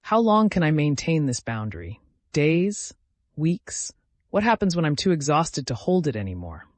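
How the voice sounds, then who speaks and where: A man voicing an exhausted, troubled man possessed by a dark entity in a fantasy story. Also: on the high side, sing-song, moderate pace, dry recording.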